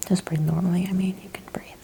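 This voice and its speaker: Soft whispering woman, mellow and creaky. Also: lowish voice, level tone, fast, a little hiss or hum. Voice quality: soft, breathy, often whispered. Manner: gentle, careful, explains each step. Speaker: ASMR host playing a chiropractor examining a patient. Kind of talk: ASMR medical roleplay.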